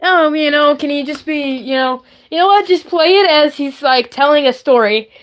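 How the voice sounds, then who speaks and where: A woman's voice: sits high, normal speed. A woman hosting a casual livestream, rambling in a playful, silly way as she shows things off. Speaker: livestream host. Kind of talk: casual chatty livestream, showing handmade puppets.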